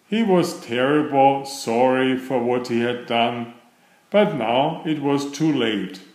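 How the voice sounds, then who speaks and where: A man narrates a children's picture book aloud. His delivery is slow and deliberate, with expressive, wide-ranging pitch. The sound is roomy and echoing. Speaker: storybook reader.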